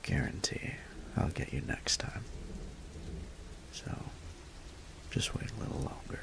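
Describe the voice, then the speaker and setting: A man playing a sweet, lovestruck young man in a romantic audio roleplay, speaking tenderly and hesitantly in a breathy half-whisper. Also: unhurried, natural voice. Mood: wry, affectionate.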